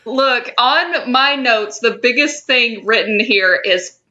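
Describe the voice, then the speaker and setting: A woman's voice: fairly high pitch, takes it slowly, sharp tone, muffled recording, slight background noise. A woman co-hosting a podcast, discussing a TV show in an informal, emphatic way with some swearing. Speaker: podcast co-host.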